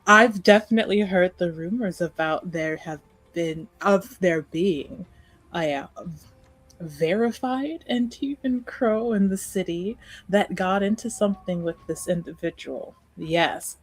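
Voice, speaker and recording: A woman's voice: on the low side, measured pace, no room sound, rough recording. A woman playing a coy, gossipy masked lady in an improvised tabletop roleplay, with a theatrical, faux-scandalized manner. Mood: coyly scandalized.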